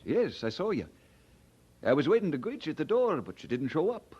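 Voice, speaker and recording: Radio drama lead: humble, courteous minister, higher-pitched raspy voice, earnest delivery, dull vintage recording. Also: male voice, big pitch swings, brisk, mellow. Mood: earnest, reassuring. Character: earnest, kindly, humble young male Scottish preacher.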